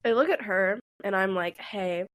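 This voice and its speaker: A woman's voice: medium pitch, normal speed. A woman in her twenties, a podcast co-host, telling a rambling story in casual chat and acting out what people said. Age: 20s. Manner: rambling, laughing, acts out quotes.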